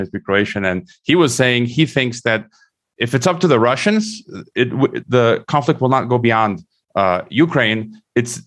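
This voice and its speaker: A man's voice: medium pitch, fairly bright tone. A man hosting an interview from a room at home, often repeating words and restarting his sentences. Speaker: interview host. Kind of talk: interview discussion.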